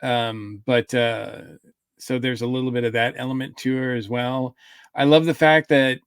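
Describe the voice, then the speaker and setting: A man's voice: mid-range voice, flat delivery, moderate pace, clean tone, no room sound, clean studio sound. A man hosting a podcast, giving his review in casual, thoughtful talk that comes in short, hesitant bursts. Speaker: podcast host.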